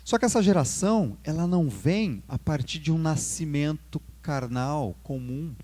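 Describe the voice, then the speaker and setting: A young adult Brazilian pastor with a Brazilian Portuguese accent, preaching a sermon, lifting his voice on key words and dropping low at the ends of phrases. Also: expressive, moderate pace, warm tone.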